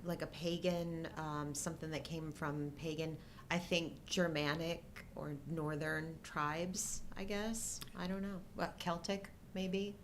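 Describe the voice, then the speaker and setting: A middle-aged woman co-hosting a casual live show, explaining things in a halting, hesitant way. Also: deep voice, level tone, slight background noise. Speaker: co-host.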